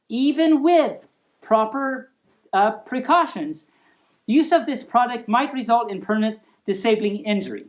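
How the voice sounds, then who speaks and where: A woman hosting a storytime, reading aloud in a playful, mock-serious manner with chatty asides and stumbles over long words. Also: on the high side. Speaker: storytime show host.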